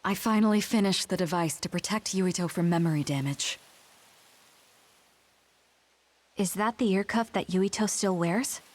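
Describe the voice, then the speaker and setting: An actress playing an earnest, composed woman in a sci-fi video game cutscene, speaking in a measured, clearly enunciated way. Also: on the low side, natural voice. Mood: composed, explaining.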